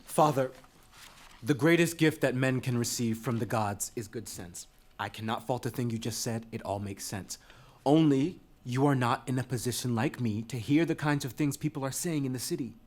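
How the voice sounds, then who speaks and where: An actor playing an earnest young prince in an impassioned dramatic reading of Greek tragedy. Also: male voice, mid-range voice, a little mellow, close to an everyday voice. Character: earnest young prince pleading with his royal father.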